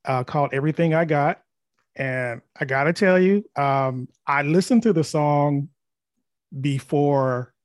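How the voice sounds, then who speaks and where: A man hosting a music podcast, asking questions in a halting way with many fillers. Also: mid-range voice, no echo, studio quality. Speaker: podcast host. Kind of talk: podcast interview.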